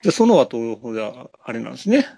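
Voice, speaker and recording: A man with a Kansai accent talks films casually as a podcast co-host. His pitch moves in a lively way and his voice often drops into gravelly fry. The sound is close and dry, and he feels his way through each thought. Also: a little fast, no echo. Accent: Kansai Japanese. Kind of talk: casual film discussion.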